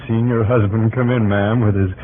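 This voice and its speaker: An actor playing a homely, respectful small-town neighbour with a folksy, hesitant, rambling manner in an old radio drama. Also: male voice, lowish voice, fairly even intonation, normal speed, slight background noise, natural voice. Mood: marvelling. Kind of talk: 1940s radio acting. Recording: muffled, band-limited old radio recording.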